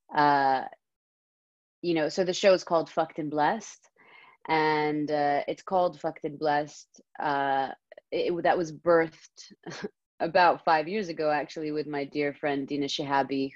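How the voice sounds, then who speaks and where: A woman's voice: deep voice, measured pace, dry recording, lo-fi sound. A woman from Saudi Arabia, a guest talking expressively and at length in a casual interview over a video call. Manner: expressive, talkative, laughs often. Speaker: guest, comedy series creator.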